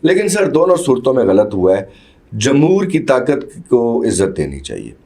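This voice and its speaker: A man's voice: mid-range voice, wildly swooping pitch, moderate pace, warm tone. A man with a Pakistani accent answering as a party worker in a political interview, explaining point by point and mixing in English terms.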